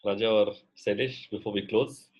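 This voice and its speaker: A man's voice: fairly low pitch, steady tone, normal speed, muffled recording, background noise. A man from India leading a coaching session on a video call, encouraging, often saying "absolutely" and "you know". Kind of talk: facilitating a group video call.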